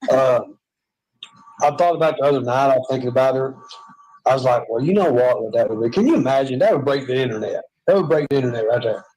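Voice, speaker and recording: A man's voice: mid-range voice, quick pace, a little mellow, breathy edge, highs cut off. A man with a Southern US accent, chatting and joking in a rambling, self-mocking way from a hospital room.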